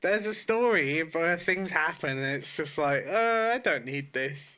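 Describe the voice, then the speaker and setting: A man's voice: on the high side, expressive, a little slow, sharp tone, smooth. A man co-hosting a podcast in casual chat, blunt and swearing freely. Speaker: podcast co-host. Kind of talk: casual chat about films and shows.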